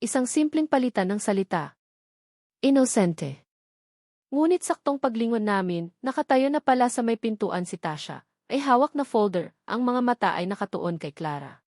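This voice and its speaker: A woman narrating a dramatic first-person story in a measured, suspenseful storytelling manner. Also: on the high side, expressive, darkish tone, no echo, studio quality.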